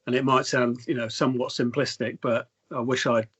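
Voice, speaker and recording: A middle-aged man, a company chief executive, answering interview questions in measured corporate phrasing full of fillers. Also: mid-range voice, flat delivery, fairly bright tone, husky. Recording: dull lo-fi sound.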